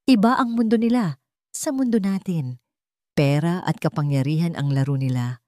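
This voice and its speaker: A woman's voice: fairly low pitch, normal speed, darkish tone, no room sound, clean studio sound, close to an everyday voice. A woman with a Filipino accent voicing a worried, practical mother in a dramatic audio story. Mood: worried warning.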